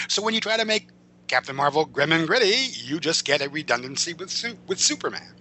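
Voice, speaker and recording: A man's voice: a little high, very animated, brisk, sharp tone, slight background noise. A man speaking as an interview guest, conversational and wordy, often stammering over repeated words and circling back to rephrase. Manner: articulate, wordy phrasing. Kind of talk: conversational storytelling and opinion about comics and film.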